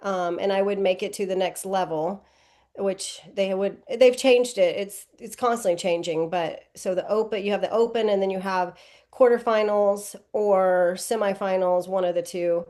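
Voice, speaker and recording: A woman's voice: medium pitch, moderate pace, a little mellow. A woman in her forties answering interview questions casually, rambling and correcting herself as she goes. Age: 40s. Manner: rambling, self-correcting, lots of "um" and "like". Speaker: guest athlete.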